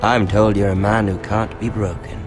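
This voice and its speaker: An actor playing a sadistic young lord in a fantasy game, mock-polite, taunting and theatrical. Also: male voice, on the low side, level tone, close to an everyday voice. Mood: mocking, menacing. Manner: taunting, theatrical, drawn-out words with sudden swings. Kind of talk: dramatic fantasy video game acting. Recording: music behind the voice.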